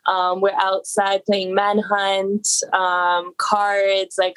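A young woman from Toronto, a podcast guest, telling stories about her childhood in a relaxed, easygoing way. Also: medium pitch, drawn-out words, clear, resonant tone, rough recording. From Toronto, Canada. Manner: relaxed, easygoing storytelling with fillers. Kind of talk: casual interview chat.

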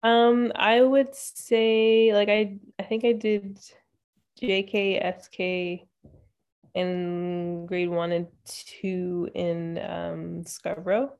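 A woman from Ontario, a podcast guest chatting casually and relaxed, often pausing on "um". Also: medium pitch, clean tone. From Ontario, Canada. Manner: relaxed, many "um" fillers, thinking aloud. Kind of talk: casual interview chat.

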